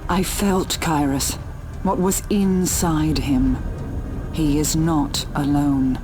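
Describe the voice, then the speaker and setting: A woman's voice: low-pitched, fairly even intonation, takes it slowly. An actress playing a solemn, vengeful female inquisitor in a video game cutscene. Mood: grave. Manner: solemn, intense. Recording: game cutscene with music behind.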